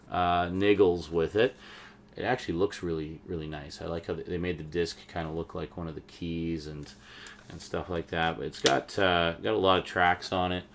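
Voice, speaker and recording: A man's voice: low voice, slight background noise. A man giving casual, unscripted show-and-tell commentary as a vlogger, rambling along with a fan's enthusiasm. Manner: rambling, enthusiastic fan talk. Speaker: vlogger, collector showing DVD purchases.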